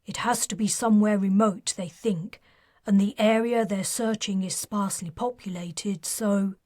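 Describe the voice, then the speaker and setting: A woman's voice: medium pitch, fairly even intonation, moderate pace, a little mellow, dry recording, studio quality, close to an everyday voice. A woman voicing an earnest, guilt-ridden male army major who talks urgently and pleads to be understood.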